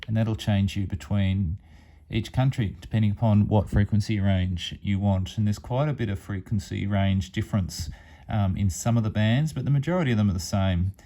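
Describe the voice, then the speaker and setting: A man's voice: on the low side, moderate pace, mellow. A man giving a casual, plain-spoken hands-on product demonstration as a hobbyist reviewer. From Australia.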